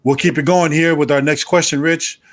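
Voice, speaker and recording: A man's voice: on the high side, steady tone, brisk, fairly bright tone. A man hosting an interview, affable and reflective, who often checks in with "right?" as he makes his points. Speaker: interview host. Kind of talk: conversational interview.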